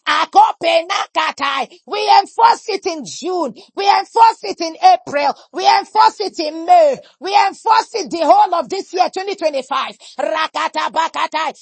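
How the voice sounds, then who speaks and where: A woman prays at full shout in a very high, gravelly voice, slipping into tongues between declarations. Her lines come in a chanting, repeated rhythm, each one driven hard. The sound is close and dry, with no room around it. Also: no room sound. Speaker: prayer leader. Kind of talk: fervent shouted prayer with speaking in tongues.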